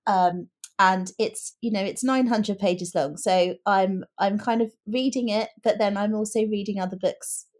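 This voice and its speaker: A woman's voice: medium pitch, fairly even intonation, unhurried, few pauses, a little mellow. Articulate woman, chatting about books. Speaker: podcast co-host. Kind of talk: casual book chat.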